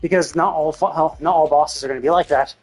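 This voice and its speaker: Casting: male game streamer, husky and a touch high, loose conversational delivery that wanders as he thinks aloud. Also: steady tone, fairly quick, a little mellow, soft background music. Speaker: video game livestreamer. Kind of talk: casual chat while streaming games.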